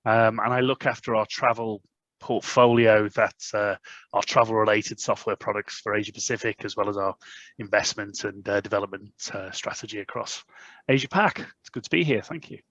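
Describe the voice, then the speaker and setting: A man with a mid-pitched voice introduces himself from a distance on a call. The sound is dry and dull, and he pauses with ums and uhs. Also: fairly even intonation, fairly bright tone, no room sound. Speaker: panelist, regional software lead. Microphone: video call.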